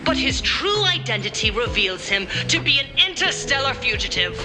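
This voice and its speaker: A woman's voice: on the high side, very animated, normal speed, over music. An actress voicing a poised female broadcaster in a formal, theatrical public address. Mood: grave, accusing. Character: poised, scheming female broadcaster making a grave public accusation. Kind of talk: dramatic televised public address.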